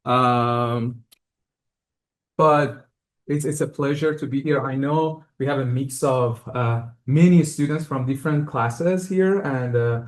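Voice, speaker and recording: A man in his thirties from Iran speaks with a Persian accent to a live audience. He talks in a friendly, searching way, pausing on fillers and restarting phrases as he thinks aloud. The voice sits at an ordinary middle pitch. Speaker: guest lecturer. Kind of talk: live academic lecture to an audience. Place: lecture hall.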